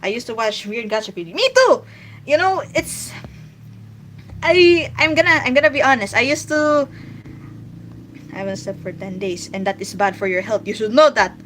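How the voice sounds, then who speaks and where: Filipino woman streamer, bright and a little high, casual rambling chat, faint hiss. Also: animated, normal speed, long pauses. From Philippines. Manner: chatty, rambling with many restarts. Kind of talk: casual livestream chat while drawing.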